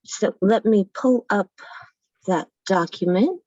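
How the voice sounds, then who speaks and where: A woman's voice: mid-range voice, slow pace, darkish tone, no echo, highs cut off. Young woman chairing a student meeting. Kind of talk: running a meeting.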